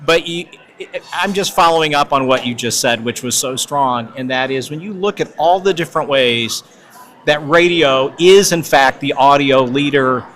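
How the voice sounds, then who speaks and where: A man with a somewhat high voice hosts a podcast interview. He puts questions and comments to his guest in a conversational style. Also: moderate pace, fairly bright tone. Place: podcast booth at a conference.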